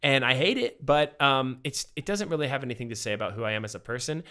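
A man in his thirties, a podcast guest, telling a personal story in casual, animated talk full of fillers and acted-out quotes, on a studio mic at home. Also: mid-range voice, quick pace. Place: home room with bookshelves. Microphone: studio mic with pop filter, headphones.

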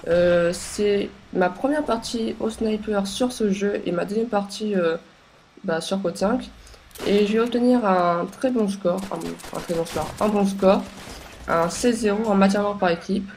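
A young woman with a stuffy, congested voice from a cold, talking over her game in a halting way with many pauses and fillers. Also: mid-range voice, a little mellow. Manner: hesitant, many filler sounds and restarts. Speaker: gameplay commentator. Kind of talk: casual gameplay commentary.

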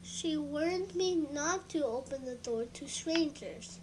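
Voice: high-pitched, takes it slowly. A performer voicing a small lonely girl reciting her picture book simply in a horror video, with noisy sound. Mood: childlike, plain. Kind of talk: storybook narration in an amateur horror video.

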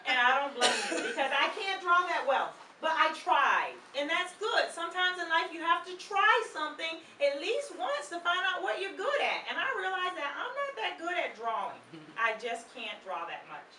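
A middle-aged woman doing an interactive read-aloud talk for young children, animated, asking questions and prompting them to repeat words. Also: very high-pitched, moderate pace, few pauses. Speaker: children's book author and presenter. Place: children's room in a public library. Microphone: far camera. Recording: distant, echoey room with background noise. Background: room ambience, children.

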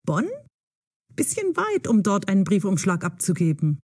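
An actress playing a bold, eager young woman in an audio drama for language learners, brisk, excitable and clearly enunciated. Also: medium pitch, dry recording, studio quality. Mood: eager, probing.

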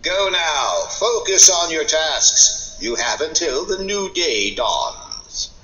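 A man's voice: on the high side, sing-song, slow pace, bright, forceful tone, exaggerated voice. An actor playing a grave, commanding male master mage in a fantasy game. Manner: commanding, portentous. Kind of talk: fantasy video game acting. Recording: echoey, dull game audio with music.

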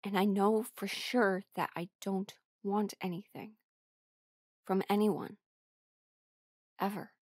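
A woman's voice: medium pitch, a little mellow, husky, no room sound, studio quality. A woman acting in an audio roleplay as a defensive, emotional musician rejecting her bandmate's love. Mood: bitter, weary. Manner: emotional, exasperated, swearing. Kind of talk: audio roleplay drama monologue.

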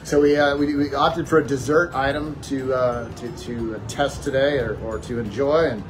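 A man's voice: medium pitch, steady tone, moderate pace, music in the background. A man in his forties chatting casually as he reviews a fair dessert, wordy and hesitant, with plenty of fillers and restarts, filmed on a phone in a small wooden shed. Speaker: food reviewer. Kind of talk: casual chat.

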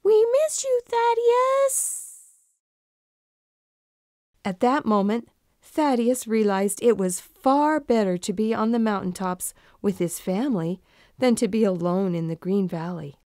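A woman narrating a children's storybook in an expressive, deliberate storytelling manner. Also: medium pitch, no echo, studio quality.

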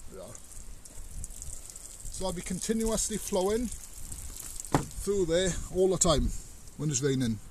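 A man's voice: high voice, very animated, slow, pauses often, noisy background. A man, talking casually and rambling as he walks round his farmyard and shows his work. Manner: rambling, plain-spoken, shows work as he goes. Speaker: farmer vlogger. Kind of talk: casual walk-through vlog. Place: outdoors on a farmyard and in a cow shed. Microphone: action camera on the body.

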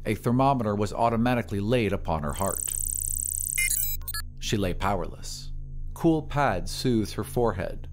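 A man narrating a scripted dramatic story in a measured, literary manner. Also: lowish voice, animated, music in the background.

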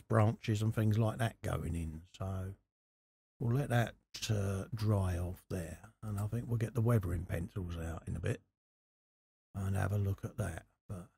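Bloke doing a laid-back craft tutorial, husky mellow voice, chatty and informal. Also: male voice, on the low side. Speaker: host of a scale model workshop channel. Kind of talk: casual hobby tutorial commentary while working. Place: indoor hobby workbench.

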